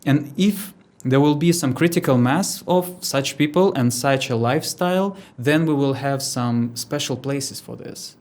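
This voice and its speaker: A man's voice: mid-range voice, measured pace, few pauses, darkish tone. A man from Moscow with a Russian accent speaking as a podcast guest, hesitant and earnest, with many fillers. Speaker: guest, creator of a music system. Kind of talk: casual conversation.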